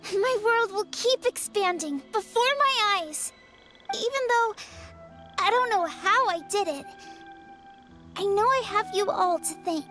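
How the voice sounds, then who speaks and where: A woman voicing a gentle, wistful little girl in a video game, speaking earnestly in a soft, childlike voice. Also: extremely high pitch, lively intonation, a little slow, pauses often, soft background music, heavily acted. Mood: happy, reflective.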